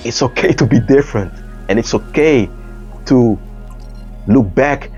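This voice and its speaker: A man, speaking earnestly and haltingly in a personal reflective monologue, often pausing to search for words. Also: medium pitch, darkish tone. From Netherlands. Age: 30s. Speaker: dancer and artist. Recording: dull lo-fi recording with faint music. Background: faint background music.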